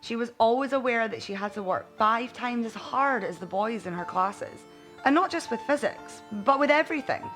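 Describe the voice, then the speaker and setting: A woman's voice: a little high, lively intonation, moderate pace, a bit bright. Woman narrating a story over music. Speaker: audiobook narrator. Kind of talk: expressive bedtime story narration. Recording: music behind the voice.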